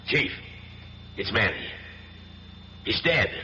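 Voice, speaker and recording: An actor playing a wary, hesitant police sergeant in a radio drama, speaking tersely. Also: male voice, fairly low pitch, sing-song, slow pace, bright, forceful tone, slight background noise, heavily acted. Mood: wary, shaken. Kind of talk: 1970s radio drama acting. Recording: echoey hall, dull old radio broadcast.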